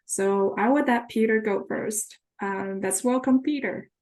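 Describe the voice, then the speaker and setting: A woman's voice: on the high side, a little slow, a little mellow. A woman moderating an online student panel, welcoming each speaker and passing the turn along.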